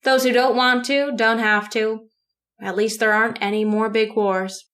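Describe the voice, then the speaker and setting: A woman's voice: a little high, fairly even intonation, moderate pace, a bit bright, natural voice. An actress playing a chatty, officious and obliging woman clerk in a radio drama, fussily repeating herself. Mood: satisfied.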